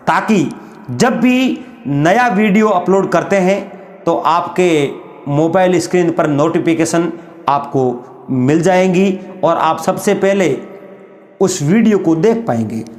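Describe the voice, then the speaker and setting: A man narrating a folk story in an unhurried, drawn-out way, often calling his listeners friends. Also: high-pitched, roomy sound.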